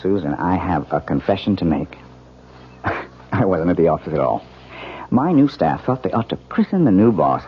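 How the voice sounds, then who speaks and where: Brash, animated young husband. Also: male voice, sits low, normal speed, pauses often. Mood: confessing, jovial. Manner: emphatic, exclamatory delivery. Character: bewildered new husband, brash hard-drinking businessman. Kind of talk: 1970s radio drama acting. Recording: muffled, hissy vintage radio recording.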